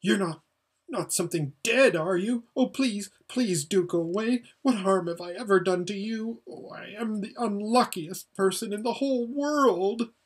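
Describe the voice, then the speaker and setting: A man's voice: a little high, very animated, normal speed, husky, heavily acted. A man voicing a frightened orphan boy in a storybook, anxious and pleading in his questions. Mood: terrified, pleading.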